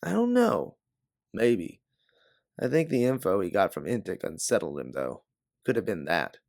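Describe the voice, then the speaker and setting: A man's voice: lowish voice, very animated, normal speed, creaky, darkish tone, dry recording, studio quality. A man voicing a guarded, hard-bitten female bounty hunter in a science fiction audiobook. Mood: recounting, guarded. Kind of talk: audiobook character dialogue.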